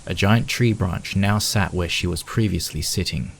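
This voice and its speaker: A man's voice: sits low, moderate pace, creaky, very noisy. A man reading scripted story narration as the narrator of a piece of fan fiction.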